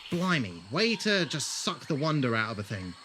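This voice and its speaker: An actor playing an eccentric, wordy alien time traveller in an audio drama, grandiose and wry. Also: male voice, medium pitch, very animated, normal speed, a bit bright, slight background noise. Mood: wry, teasing.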